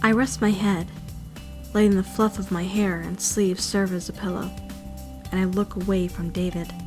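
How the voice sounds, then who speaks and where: A woman's voice: mid-range voice, steady tone, normal speed, close to an everyday voice. A woman playing an anxious, brooding fourteen-year-old schoolgirl who narrates her self-doubting thoughts in a visual novel fandub. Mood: miserable, brooding. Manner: introspective, questioning, self-critical. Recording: music behind the voice.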